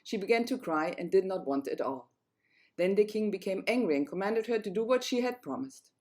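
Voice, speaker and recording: A woman's voice: medium pitch, lively intonation, a little fast, darkish tone. A woman storyteller narrating a fairy tale, reading it aloud.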